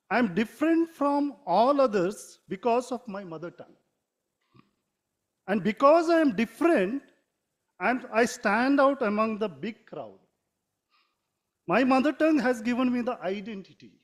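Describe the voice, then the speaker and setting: High-voiced Indian man giving formal speech. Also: expressive. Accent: Indian English. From Mangalore, India. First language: Konkani. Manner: measured, formal reading with occasional self-corrections. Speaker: writer giving a talk.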